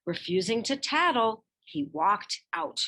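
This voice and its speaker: A woman reading a children's picture book biography aloud in expressive narration, with measured phrasing and dramatic emphasis. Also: medium pitch. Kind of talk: expressive scripted storybook narration.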